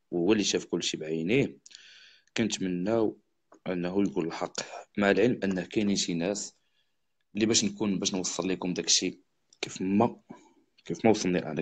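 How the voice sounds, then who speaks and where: A man with a Moroccan accent hosting a live stream, chatting quickly with viewers and a guest. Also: low voice, a little mellow, breathy edge. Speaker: livestream host. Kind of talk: casual live chat and storytelling.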